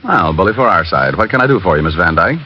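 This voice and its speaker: Wisecracking detective, lively bright voice, muffled old radio. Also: male voice, low-pitched, fast, slight background noise, heavily acted. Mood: wry. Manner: dry, joking, wisecracking. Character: wisecracking male private detective. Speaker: narrator and lead. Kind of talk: 1940s radio acting.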